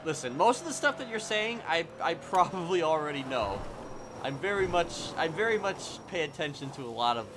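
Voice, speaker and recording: A man's voice: fairly high pitch, big pitch swings, fairly quick, noisy background. A man chatting casually on a livestream in a rambling, hedging, conversational way. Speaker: live streamer. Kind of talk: casual chat during a game livestream.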